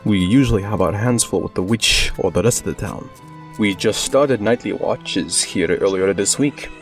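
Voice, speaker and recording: A man's voice: lowish voice, moderate pace, close to an everyday voice. A man playing a matter-of-fact leader of town watchmen in an audio drama. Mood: businesslike. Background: music.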